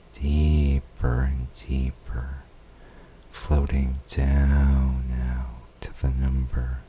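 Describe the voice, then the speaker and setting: A man guiding a hypnosis countdown in a soft, soothing voice, drawing words out and slipping into whispers. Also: rumbling low, a little hiss or hum. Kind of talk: slow guided hypnosis countdown.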